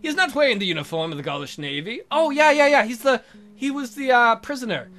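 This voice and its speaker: A man's voice: very high voice, lively intonation, quick pace, fairly bright tone. A man hosting a let's play, reading a text adventure aloud with goofy, crude asides.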